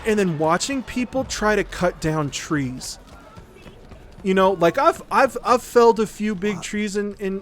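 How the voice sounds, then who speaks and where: A man hosting a livestream, rambling casually in an animated, sarcastic, sweary storytelling way. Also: high-pitched. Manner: acts out mocking quotes. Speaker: livestream host.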